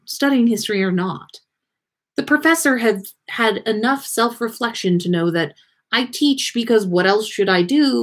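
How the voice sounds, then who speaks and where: A woman reading a story aloud expressively, with theatrical emphasis and the occasional stumble. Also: medium pitch. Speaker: podcast host reading listener fan fiction aloud.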